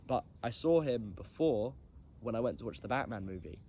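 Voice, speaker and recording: A young man from England, nasal from hay fever, hosting a casual solo podcast and rambling with self-deprecating asides and many pauses. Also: mid-range voice, animated, darkish tone, slight background noise. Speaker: podcast host.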